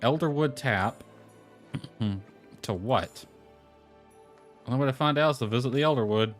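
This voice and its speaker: A man's voice: medium pitch, unhurried. Male game streamer over background music. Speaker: video game livestreamer. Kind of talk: casual livestream talk, reading game text aloud. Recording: music playing behind the voice.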